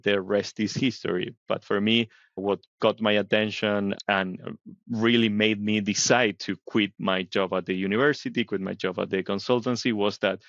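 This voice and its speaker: Man, middle-aged, from Argentina, interview guest, lowish voice, dull dry lo-fi audio. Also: fairly even intonation, moderate pace, a bit bright, no echo. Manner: thoughtful, explains with frequent "right?". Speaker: biotech company CEO, guest. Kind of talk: conversational interview answers.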